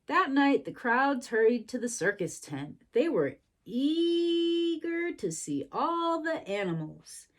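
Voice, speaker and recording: A woman's voice: sits high, sing-song, slow, hardly any pauses. A woman reading a storybook to young children expressively, adding sympathetic little asides.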